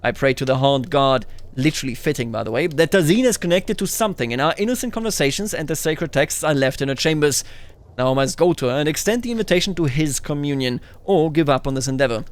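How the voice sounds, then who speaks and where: Male game streamer, slightly high voice, casual and expressive, thinking aloud between narrated passages. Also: fast talker. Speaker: gaming video host. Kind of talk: casual game commentary mixed with reading in-game story text aloud.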